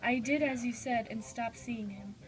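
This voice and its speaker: A woman's voice: fairly high pitch, moderate pace, a crowd in the background, lightly acted. A woman playing a dutiful, obedient young noblewoman in an amateur puppet show. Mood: troubled.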